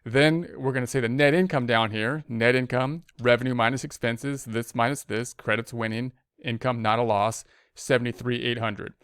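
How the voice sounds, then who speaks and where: A man's voice: medium pitch, fairly even intonation, moderate pace, a bit bright, smooth, no echo, studio quality. A man giving a step-by-step spreadsheet tutorial as an instructor, thinking aloud and often repeating his phrases. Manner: repeats phrases while working through steps. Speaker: accounting instructor.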